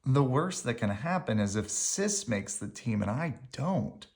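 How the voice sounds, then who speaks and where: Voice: medium pitch, very animated, a little slow, a little mellow. A reader voicing a subdued young boy bear cub. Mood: glum.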